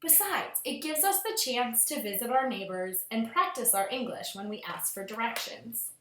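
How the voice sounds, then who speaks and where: A woman's voice: on the high side, animated, moderate pace, fairly bright tone, roomy sound, distant mic, slight background noise. A woman voicing an upbeat, practical storybook mother, reassuring and matter-of-fact.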